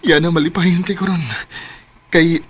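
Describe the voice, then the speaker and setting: An eager, devoted young suitor in a radio drama. He has a bright, fairly high voice with a Filipino accent and speaks in earnest, expressive phrases. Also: takes it slowly, full character voice. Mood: joyful, earnest. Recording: noisy radio drama recording.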